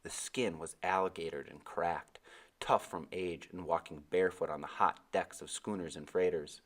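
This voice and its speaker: A male read-aloud narrator with a lowish voice. He delivers scripted first-person adventure fiction in an expressive storytelling style. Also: normal speed.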